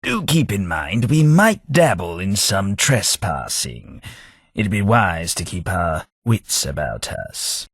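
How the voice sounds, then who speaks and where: A refined, wry undead London gentleman from a game, older, formal manners and dry humor. His voice is husky and fairly low, and he talks slowly. The studio recording is dry and close. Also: sing-song, dry recording, heavily acted. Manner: dry wit, formal polite phrasing. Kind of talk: game companion voice lines.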